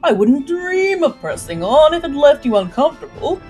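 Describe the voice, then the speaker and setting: Picture a smug, middle-aged pirate count acted out by a woman reading a storybook, nasal and oily. His courteous phrases are drawn out and swoop widely in pitch. Music plays behind him. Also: sing-song, moderate pace, darkish tone. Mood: oily, reassuring.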